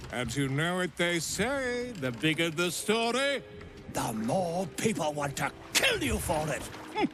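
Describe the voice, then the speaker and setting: An actor playing an excitable, flamboyant and cowardly storyteller in a video game, theatrical and self-important. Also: male voice, high voice, heavily acted. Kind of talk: scripted video game acting.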